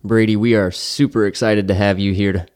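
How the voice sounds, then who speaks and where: A man hosting a conversational interview, building dramatic lists with pauses between items. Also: on the low side, fairly even intonation, darkish tone. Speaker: interview host.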